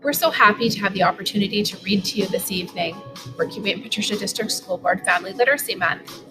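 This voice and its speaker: A woman in her forties reads a picture book aloud. Her voice sits at a middle pitch, and music plays clearly behind her as she reads. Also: fast talker. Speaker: school superintendent reading aloud. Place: living room sofa, indoors.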